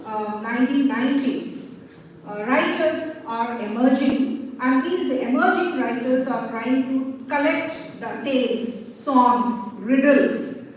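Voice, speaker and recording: Woman with a high voice, academic presenter, picked up from afar in a very echoey hall. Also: slight background noise. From Tamil Nadu, India. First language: Tamil. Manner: formal, measured lecturing with pauses. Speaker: conference speaker. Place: large seminar hall. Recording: echoey hall heard from far off.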